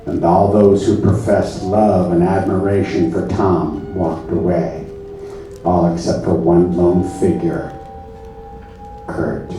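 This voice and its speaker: A man performing on a theater stage in a comedy show, speaking with deadpan, mock-earnest solemnity as a presenter. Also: low voice, slow. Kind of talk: comedy stage show, solemn story narration and audience address. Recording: echoey room, far from the mic, music.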